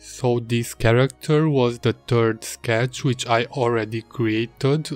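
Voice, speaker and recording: A man whose first language is Hungarian, calmly narrating his illustration process as a voice-over. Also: mid-range voice. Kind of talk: voice-over narration of a drawing video. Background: faint music.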